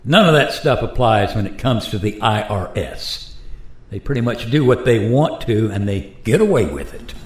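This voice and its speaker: A man's voice: on the low side, lively intonation, normal speed, natural voice. A man playing a resigned, plain-spoken modern taxpayer in a radio play, explaining things in a wry, conversational way. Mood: wry resignation. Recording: roomy echo.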